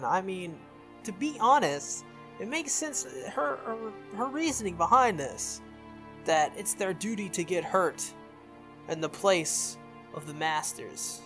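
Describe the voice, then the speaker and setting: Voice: medium pitch, a bit bright. A video game playthrough host reading visual novel text aloud with casual reactions, stumbling over and repeating words. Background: game music.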